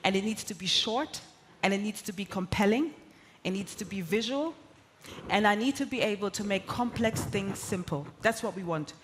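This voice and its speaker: A woman's voice: medium pitch, animated, moderate pace, slight background noise. A woman whose first language is German gives an earnest, emphatic conference talk, building her points in repeated lists. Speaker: keynote speaker.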